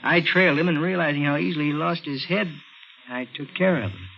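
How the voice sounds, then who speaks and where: A man's voice: a little high, a little fast, creaky. An actor playing a cold murderer posing as a helpful policeman in a 1950s radio thriller, clipped and matter-of-fact. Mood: cold, menacing. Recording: muffled, noisy 1950s radio recording.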